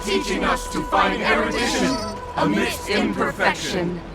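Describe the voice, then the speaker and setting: An actor playing a fervent herald who declaims hymns of praise to gods in a grandiose, reverent manner. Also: male voice, high voice, big pitch swings, measured pace, over a noisy crowd. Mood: exalted, reverent. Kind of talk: theatrical liturgical declamation. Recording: loud music under the voice.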